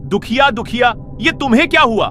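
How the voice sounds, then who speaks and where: A man's voice: extremely high pitch, brisk, sharp tone, faint music behind. A man voicing a concerned village man, exclamatory, in a cartoon story. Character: concerned, dismissive high-voiced village man.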